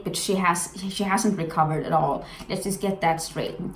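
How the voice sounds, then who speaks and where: Young Swedish-accented woman vlogger, frank and earnest; low-ish voice, echoey room. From Sweden. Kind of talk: candid personal commentary. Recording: echoey room with faint noise.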